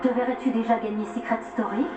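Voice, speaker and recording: A woman's voice: a little high, steady tone, quick pace. A woman acting as a TV show's offscreen voice, asking formal questions with dry humor. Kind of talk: scripted TV interview questions. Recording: distant, roomy, muffled TV audio with music.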